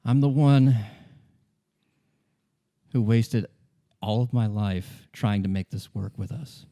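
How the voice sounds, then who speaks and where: A man playing a weary, resigned woman in her late thirties leaving an old love, in a tabletop roleplay, speaking quietly and firmly. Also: on the low side, slow pace. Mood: bitter, weary.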